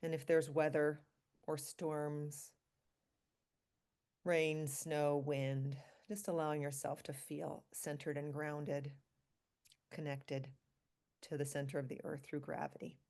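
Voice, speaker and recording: A woman leading a guided meditation for a group, speaking calmly and slowly in short, spaced phrases. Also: low voice. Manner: calm, slow, pausing between short phrases. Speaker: meditation leader, physician.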